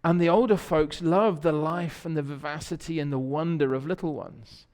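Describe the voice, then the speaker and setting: A man's voice: a little high, fairly even intonation, moderate pace. A man preaching a conversational sermon as a pastor, telling stories and often repeating words while he searches for the next one. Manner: warm storytelling, repeats words when searching. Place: church sanctuary. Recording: church hall reverb.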